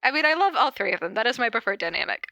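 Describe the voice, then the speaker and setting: A woman hosting a casual podcast, reading stories aloud and chatting between them. Her voice is bright and forward, with a very dry, close sound. She keeps an informal, giggly manner. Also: on the high side, very animated, very fast, dry recording. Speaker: podcast host reading fan fiction aloud. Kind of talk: casual chat and cold reading of fan fiction.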